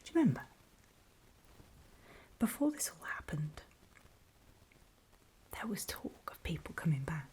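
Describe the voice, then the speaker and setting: A woman playing a wary, battle-worn survivor of a zombie apocalypse, speaking in a breathy, deliberate whisper in an ASMR horror roleplay. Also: mid-range voice, slight background noise, poor audio. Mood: uneasy, reminiscing. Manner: hushed, deliberate, halting storytelling with pauses.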